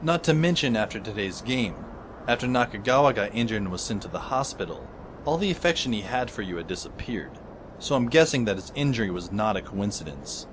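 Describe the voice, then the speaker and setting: An actor playing a dry, sardonic teenage schoolboy who narrates an audio drama in the first person. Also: male voice, on the low side, lively intonation, fairly quick, noisy background, close to an everyday voice. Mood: reflective. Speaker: narrator and lead character. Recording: music under the voice.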